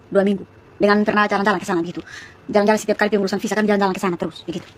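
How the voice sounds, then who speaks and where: A woman of about thirty from East Nusa Tenggara, speaking with its regional Malay accent, chatting to viewers on a phone livestream and telling her story in rapid, chatty bursts full of asides. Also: medium pitch, little pitch movement, a little hiss or hum. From East Nusa Tenggara, Indonesia. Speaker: live streamer. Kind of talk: casual live-stream testimony to viewers.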